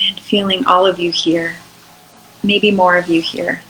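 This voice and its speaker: A woman leading an unscripted guided meditation in a soothing, unhurried way, with long pauses between short phrases. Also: lowish voice, little pitch movement, a bit bright, slight background noise, rough recording.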